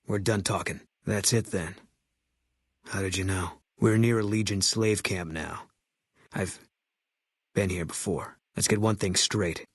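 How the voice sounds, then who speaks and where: A man voicing a grim, embittered military sniper in a video game, terse and guarded, with a husky voice. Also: low-pitched, unhurried, pauses often, no room sound, exaggerated voice. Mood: grim, resolute. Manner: terse, guarded, flat, clipped delivery. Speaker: video game companion character. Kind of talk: game voice acting, terse dialogue lines.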